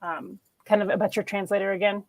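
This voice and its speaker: A woman hosting an interview, asking quick, eager questions. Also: mid-range voice, flat delivery. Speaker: host.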